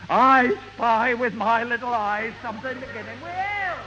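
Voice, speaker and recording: A man's voice: very high-pitched, normal speed. A man playing an irascible, bossy Scottish uncle in an old radio comedy. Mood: grumbling. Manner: bossy, exasperated. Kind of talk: 1970 radio comedy acting. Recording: noisy, muffled, echoing old radio recording.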